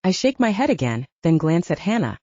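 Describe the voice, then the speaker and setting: Woman narrator, lively pitch, mellow tone. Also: a little fast, dry recording, muffled recording. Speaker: audiobook narrator. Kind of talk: scripted fiction narration in the first person.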